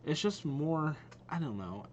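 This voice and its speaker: A man hosting a reaction video, giving casual commentary as he thinks aloud in a halting way. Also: fairly high pitch, big pitch swings, highs cut off, background noise.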